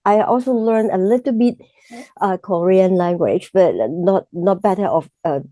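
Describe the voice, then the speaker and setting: Woman, Cantonese accent, native Cantonese speaker, hesitant broken English, mellow warm tone, dry close sound. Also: mid-range voice, dry recording. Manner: halting, searching for words in simple English. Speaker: exam candidate. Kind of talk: mock speaking exam answers.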